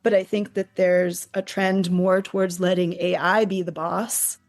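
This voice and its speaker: A middle-aged woman speaking as an interview guest about academic publishing, animated and emphatic with occasional restarts. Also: lowish voice, unhurried. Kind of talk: casual interview talk.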